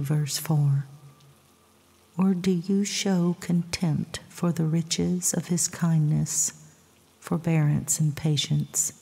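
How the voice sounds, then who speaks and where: Slow, breathy woman guiding sleep meditation. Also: low voice. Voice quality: soft, breathy, husky. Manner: soothing, measured, hushed. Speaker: meditation guide. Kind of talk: scripted guided sleep meditation narration with Bible readings. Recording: long reverb, soft music behind.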